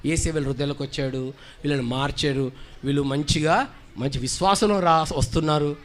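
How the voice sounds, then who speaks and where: A man's voice: a little high, fairly quick, slight background noise. A man acting as presenter and straight man in a Sunday school puppet dialogue, patiently questioning and correcting like a teacher.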